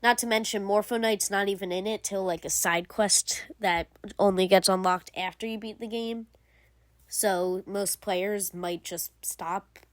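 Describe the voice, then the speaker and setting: A woman's voice: mid-range voice, no echo. A woman giving casual commentary as a gaming video creator, rambling with lots of fillers and self-corrections. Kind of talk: casual game commentary ranking.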